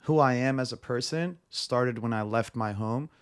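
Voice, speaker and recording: A man's voice: medium pitch, moderate pace, clean tone. A young man raised in Montreal, a podcast guest telling stories in casual chat, blunt and profane, acting out the dialogue. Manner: animated, acts out quoted dialogue.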